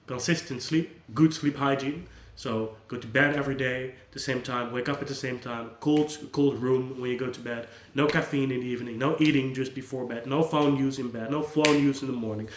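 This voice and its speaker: A man whose first language is Dutch speaks in an earnest, conversational way, often restarting his sentences. His voice sits at a middle pitch for a man. The room around him has a noticeable echo. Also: fairly even intonation, a little fast, hardly any pauses. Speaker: psychologist, channel host. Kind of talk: unscripted talk to camera.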